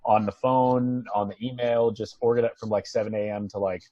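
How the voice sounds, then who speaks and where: A man's voice: lowish voice, fairly even intonation, fairly quick, warm tone, no echo, lo-fi sound. An American man chatting casually on a video call in a rambling, conversational way. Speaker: actor and guest on a video call. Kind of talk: casual chat. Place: at home on an online video call.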